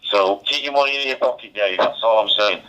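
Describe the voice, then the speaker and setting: A man's voice: fairly low pitch, brisk, bright, forceful tone, slight background noise. A man chatting casually as an amateur radio operator, heard over a two-way digital radio. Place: mobile radio station. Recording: dull, band-limited two-way radio transmission.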